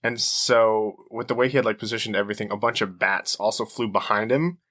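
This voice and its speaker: A man's voice: fairly low pitch, brisk, fairly bright tone. A man co-hosting a podcast, talking in a loose, jokey, rambling way with some casual swearing. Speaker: podcast co-host. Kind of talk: casual chat, rambling storytelling.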